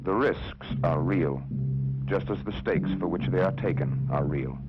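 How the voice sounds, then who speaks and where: A man's voice: deep voice, a little slow. An American man narrating a 1960s government propaganda film in a solemn, emphatic, declamatory manner. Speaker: documentary narrator. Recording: dull old film soundtrack with music. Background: music.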